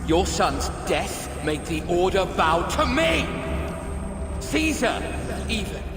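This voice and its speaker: An actor playing an arrogant, power-hungry villain who sneers and taunts, in a video game cutscene. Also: male voice, high voice, big pitch swings, takes it slowly, background noise, heavily acted. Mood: boastful. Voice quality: sneering and boastful. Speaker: game character. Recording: echoey hall under loud music.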